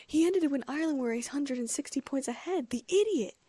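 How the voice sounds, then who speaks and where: A woman as a loud indignant teenage boy. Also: high voice, expressive, a little fast, dry recording, pitched above the performer's own voice. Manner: shouting, indignant.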